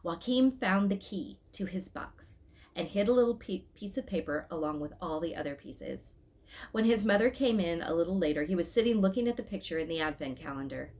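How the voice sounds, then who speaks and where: A woman's voice: on the low side, expressive, fairly quick, darkish tone. A woman hosting a read-aloud, narrating a storybook expressively with casual asides. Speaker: storybook reader, host.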